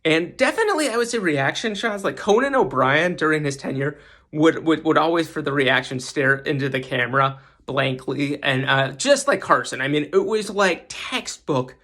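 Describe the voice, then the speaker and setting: Bright, higher-voiced man, podcast host. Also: animated, moderate pace. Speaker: podcast host giving a guest segment. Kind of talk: casual enthusiastic commentary.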